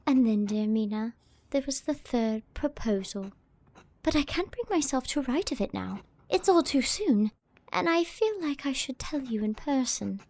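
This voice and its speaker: A woman playing a sweet, excitable young Victorian lady who speaks politely and effusively in an audio drama. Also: high-pitched, moderate pace, dry recording. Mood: wistful, dramatic. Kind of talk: audio drama letter narration and dialogue.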